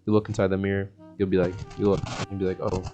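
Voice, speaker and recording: A man's voice: sits low, monotone, very fast, music in the background. A young American man giving a casual self-help monologue in a laid-back, slangy, rambling way. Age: young adult. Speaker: vlogger.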